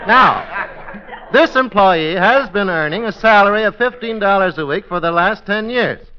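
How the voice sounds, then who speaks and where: An actor playing a pompous, bumbling judge with formal, wordy, hesitant phrasing, in 1940s radio comedy style. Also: male voice, sits high, lively intonation, normal speed, some chatter behind. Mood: deliberate, puzzled. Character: pompous, bumbling male judge of a comic court. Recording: muffled old radio recording.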